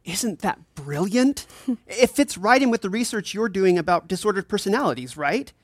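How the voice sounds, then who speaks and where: A man's voice: high-pitched, animated, moderate pace, no echo. An actor playing an eager, empathetic male student who speaks in a casual, enthusiastic way in a fiction podcast. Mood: excited.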